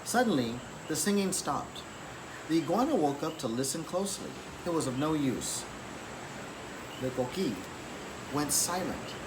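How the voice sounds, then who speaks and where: A man reading a children's picture book aloud in an expressive, deliberate storytelling manner. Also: on the high side. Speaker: story reader. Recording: clearly noisy background.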